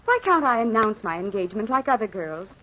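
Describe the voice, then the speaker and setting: A woman's voice: medium pitch, sing-song, brisk, bright, forceful tone, slight background noise. An actress playing an outspoken, impatient young woman in a 1940s radio drama, with an emphatic, reproachful delivery. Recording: dull vintage radio drama recording.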